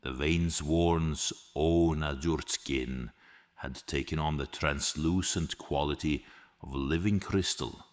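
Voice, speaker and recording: Very deep, slow male epic narrator. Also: a bit bright. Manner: solemn, dramatic, measured delivery. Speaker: audio drama narrator. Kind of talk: scripted epic fantasy story narration. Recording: long reverb with music behind. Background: music.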